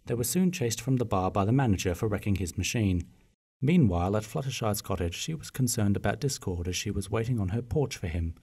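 A man's voice: fairly low pitch, expressive, brisk, a little mellow, husky. A man giving scripted narration as the storyteller of a fan-made radio play.

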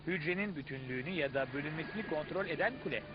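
A man's voice: fairly high pitch, rapid-fire, close to an everyday voice. A man playing a proud cell who lectures like a tour guide in a dubbed educational cartoon. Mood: proud explaining. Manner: didactic, showman-like. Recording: dull lo-fi audio, music behind.

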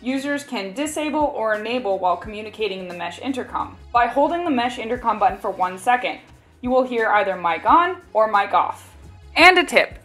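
A woman with a fairly high voice narrates a product tutorial. She reads scripted, step-by-step instructions, with faint music playing behind her. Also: expressive, fairly quick, a bit bright. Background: background music.

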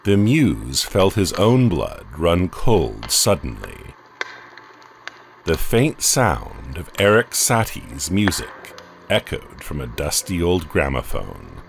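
A man narrating a horror story in a slow, dramatic way. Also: low-pitched, huge pitch swings, gravelly, a little hiss or hum.

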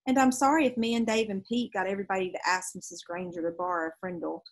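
A woman's voice: mid-range voice, fast, close to an everyday voice. A woman voicing an earnest, self-justifying fifth-grade boy in a storybook. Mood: earnest, defensive.

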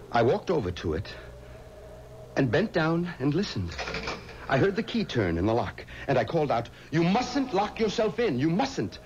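An actor playing a stubborn, pious man in a 1970s radio horror drama, speaking in a dramatic, deliberate way. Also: mid-range voice. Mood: urgent. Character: stubborn, pious man recounting a graveyard haunting. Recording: muffled old radio recording with music.

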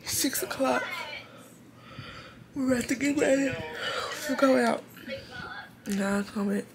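A woman's voice: high-pitched, unhurried. A young woman in her twenties talking casually and playfully to her viewers with slang, filming herself at home. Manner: laid-back, slangy, playful. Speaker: vlogger. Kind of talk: casual vlog chat. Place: small home bathroom. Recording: background noise.

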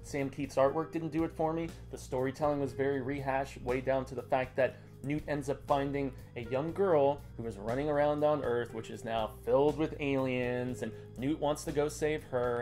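A man's voice: medium pitch, warm tone. A man in his thirties with an American accent, giving a casual, conversational comic review as co-host. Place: small indoor room lined with comic shelves.

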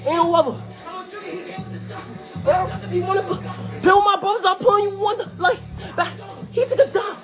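A young man doing a squeaky helium voice as an aggressive, boastful drill rapper. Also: normal speed, music in the background. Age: young adult. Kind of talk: drill rapping.

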